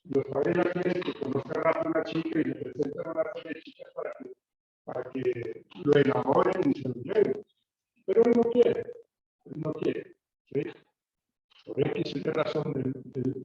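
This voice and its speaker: A man's voice: a little high, warm tone. A man with a Colombian accent giving a classroom lecture in a conversational way, with many hesitations and tag questions. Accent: Colombian Spanish. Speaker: university lecturer. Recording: roomy, distant, dull recording with faint noise.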